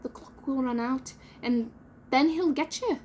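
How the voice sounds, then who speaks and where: A woman's voice: sits high, unhurried, darkish tone, slight background noise. A woman voicing a simple, cheerful male pirate bosun who talks in a chirpy, eager way in a storybook reading.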